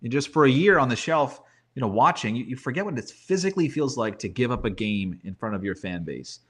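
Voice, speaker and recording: A man's voice: mid-range voice, animated, brisk, fairly bright tone. A man giving casual sports analysis as a guest, thinking aloud and tossing in rhetorical questions.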